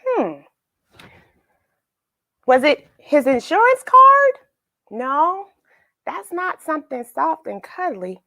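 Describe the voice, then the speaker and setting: A woman's voice: high voice, no echo, clean studio sound. A woman hosting a children's read-aloud, narrating a storybook expressively with emphasis and questions to young listeners. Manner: expressive, emphatic, dramatic pauses.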